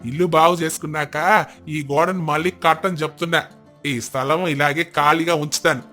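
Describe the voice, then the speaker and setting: A man's voice: a little high, steady tone, normal speed, bright, forceful tone, faint music behind. A man playing a remorseful, humbled elderly village husband in a moral story, apologetic and earnest. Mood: grateful. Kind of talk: moral storybook character dialogue.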